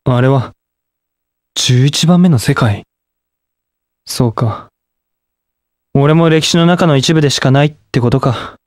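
A man's voice: mid-range voice, takes it slowly, long pauses, dry recording, close to an everyday voice. A young man voicing a cocky, aloof masked rider hero in a video game, with a cool, dry, self-assured delivery. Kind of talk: game voice lines.

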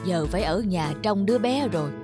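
A woman voicing a grumpy, aloof older man, a disapproving neighbour in an animated cartoon. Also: medium pitch, a little fast, close to an everyday voice. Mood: grumbling, dismissive. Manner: grumbling, disapproving. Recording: music under the voice.

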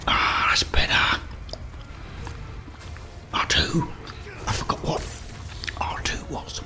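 A man's voice: slow pace, loud music behind the voice. A middle-aged man whispers ASMR gaming commentary close to the mic while chewing gum. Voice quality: breathy whisper. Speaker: video game streamer. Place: indoor room at a desk. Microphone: close desk mic.

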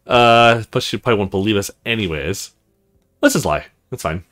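A man hosting a let's play, reading visual novel dialogue aloud in an expressive way. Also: lowish voice, normal speed, dry recording, soft background music.

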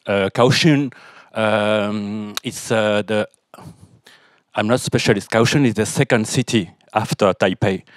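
A man with a French accent and a mid-range voice asks a question in halting English as a non-native speaker. He stops often and restarts his sentences. The recording is dry. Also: fairly low pitch, no echo. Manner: hesitant, many fillers and restarts. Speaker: audience member asking a question.

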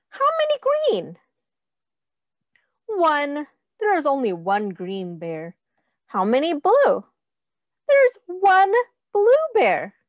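Woman preschool read-aloud teacher. Higher-pitched, very lively intonation, instructive and sing-song, inviting kids to count along. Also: dry recording, clean studio sound. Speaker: teacher reading a picture book aloud to young children.

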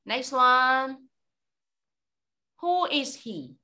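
A young woman, an English teacher giving a child a speaking test over a video call, slow, clear and full of praise. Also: high-pitched, expressive, pushed and bright.

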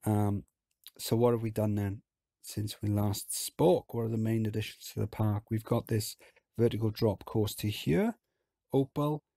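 A man with a hoarse, croaky voice from illness, chatting casually as he talks through a theme park game. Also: on the low side, unhurried, no room sound, clean studio sound. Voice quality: husky, croaky. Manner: relaxed, chatty, explains as he plays. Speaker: game video host.

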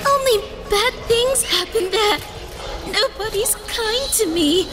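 A woman's voice: very high-pitched, expressive, slow, in a large hall, full character voice. A woman voicing a distressed young village girl in a manga dub, with hesitant, pleading delivery. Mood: desperate. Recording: music under the voice.

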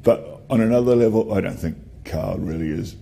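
Interviewee in his sixties, very low, gravelly, dark tone, thoughtful. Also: moderate pace. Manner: reflective, weighing his words. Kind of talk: interview answer.

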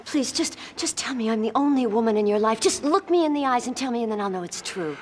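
A woman's voice: fairly high pitch, expressive, fast talker, a little hiss or hum, close to an everyday voice. A woman playing a melodramatic young soap-opera wife, overwrought and clingy. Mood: pleading. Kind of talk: soap-opera parody acting.

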